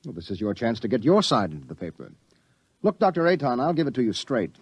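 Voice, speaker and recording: An actor playing a brash, persistent newspaper reporter in a radio drama, plain-spoken and insistent. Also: male voice, mid-range voice, very animated, quick pace, slightly rough, no echo.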